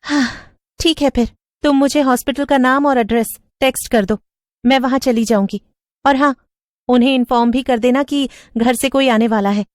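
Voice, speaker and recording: An anxious young woman from a horror cartoon, acted out by a female narrator. Her voice sits high and sounds close and dry. She speaks expressively, with hesitant questions and a lonely, uneasy temper. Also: steady tone, moderate pace, no echo, natural voice. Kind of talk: dramatic cartoon story voice acting.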